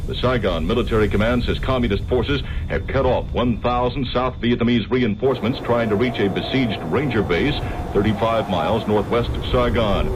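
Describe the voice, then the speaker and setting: A man reading radio news as an anchor, in a formal bulletin style. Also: on the low side. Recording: noisy old radio news broadcast.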